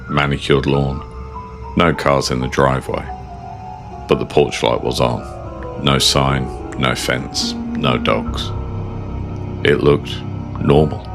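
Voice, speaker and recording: A British man with an exceptionally low, gravelly voice narrates a horror story in the first person. His delivery is measured, understated and ominous. Music plays clearly behind him. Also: a bit bright. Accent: British English.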